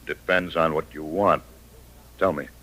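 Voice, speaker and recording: Steely, arrogant gunslinger for radio western; very low voice, clipped and menacing lines. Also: male voice, fairly even intonation, unhurried, sharp tone, slightly rough, heavily acted. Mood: cold, commanding. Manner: terse, coolly menacing. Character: cold, arrogant hired gunman turned western sheriff, 38. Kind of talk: old-time radio western acting.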